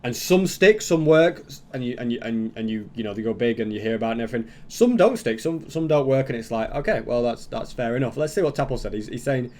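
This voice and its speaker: A man's voice: medium pitch, expressive, fast, few pauses, darkish tone. Man rambling through casual reaction commentary. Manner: rambling, thinking aloud. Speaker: YouTuber host reacting to a video.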